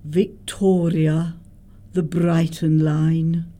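A woman's voice: deep voice, flat delivery, drawn-out words, mellow. A woman playing a prim, flustered governess, earnest and melodramatic, in a comic radio play. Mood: ashamed, confessional. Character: prim, flustered, guilt-ridden spinster governess. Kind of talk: stage comedy radio acting.

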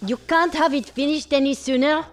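A woman's voice: high voice, moderate pace, faint music behind, close to an everyday voice. An actress playing a haughty, demanding female customer, insistent and imperious, in a period audio drama.

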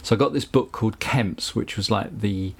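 A middle-aged man with a Southern English accent, a recording engineer telling anecdotes in a relaxed interview, chatty with frequent asides. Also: on the low side, moderate pace, slight background noise. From England. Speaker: guest, recording engineer and producer.

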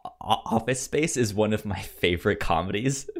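A man co-hosting a podcast in casual film chat, halting and hesitant, with a stutter that repeats sounds and words. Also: fairly low pitch. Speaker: podcast co-host.

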